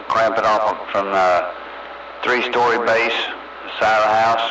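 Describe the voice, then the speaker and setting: A man's voice: on the low side, steady tone, measured pace. A man chatting casually and in a folksy way over CB radio. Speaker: CB radio operator. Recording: noisy CB radio transmission.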